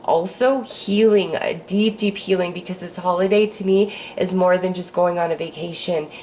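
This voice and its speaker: A woman with a hoarse voice from a cold, giving a casual, upbeat astrology forecast while pulling tarot cards. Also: fairly low pitch, steady tone, moderate pace, a little mellow, slight background noise, low-quality recording. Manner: breezy and upbeat, with sighs and fillers. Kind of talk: casual spiritual forecast with card pulls.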